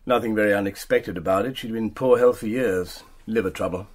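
An actor playing a blunt, offhand country doctor with a husky voice in a radio drama. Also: male voice, fairly low pitch, brisk, natural voice. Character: brusque, jovially dismissive country doctor.

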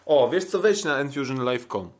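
A man's voice: mid-range voice, lively intonation, normal speed, darkish tone. A man co-hosting a sports podcast in casual, unscripted talk, hesitant and often repeating himself. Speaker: podcast co-host and kickboxing commentator.

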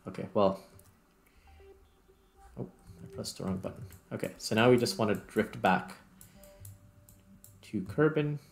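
A man with a fairly low voice hosts a gameplay video in a casual style. He thinks aloud and often corrects himself mid-thought. Faint music plays behind him. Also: expressive, unhurried, creaky, pauses often. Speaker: gaming video host.

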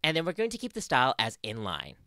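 A woman's voice: extremely low pitch, wildly swooping pitch, fast talker, bright, forceful tone, dry recording. A young adult woman with an Australian accent presenting a scripted tutorial into a close studio mic. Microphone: close studio mic on boom arm.

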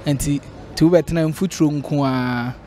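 Young male interviewee, Ghanaian, lighter high pitch, recorded outdoors with slight background noise. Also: fairly even intonation, moderate pace, a little mellow. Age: young adult. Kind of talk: street interview. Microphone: handheld interview mic. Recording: faint outdoor street noise.

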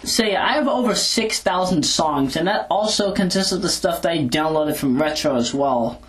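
Medium-pitched voice, casual audio log, rambling delivery, hesitant, self-correcting, handheld recorder. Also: expressive, normal speed. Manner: informal, hesitant, self-correcting while recalling lists. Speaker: video blogger. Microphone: handheld audio recorder moved back and forth.

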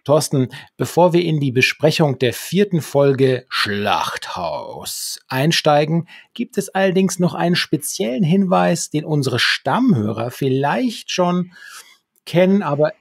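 A man's voice: a little high, very animated, unhurried, vocal fry, slightly rough, no echo. A man co-hosting a podcast conversationally, with wordy, mock-formal flourishes, close to the microphone. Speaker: podcast co-host. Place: small home room with bookshelves. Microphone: close desktop microphone with headphones.

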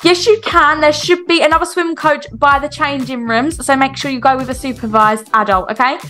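A woman's voice: sits high, steady tone, brisk, pushed and bright, faint music behind. A woman playing a firm, encouraging children's swimming coach in an improvised game roleplay. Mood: instructing. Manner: instructing, encouraging. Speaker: video creator playing a swim coach.

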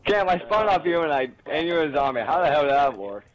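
A man on a headset in party chat, talking in a lively, fairly high voice that jumps around a lot. He is excitable and swears constantly. He laughs and protests loudly every time he dies. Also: low-quality recording. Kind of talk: casual multiplayer banter.

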